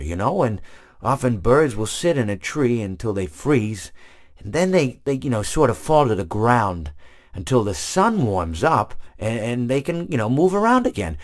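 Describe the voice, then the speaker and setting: An actor playing a friendly, long-winded animatronic hippo who rambles through meandering stories, full of restarts. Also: male voice, mid-range voice, close to an everyday voice.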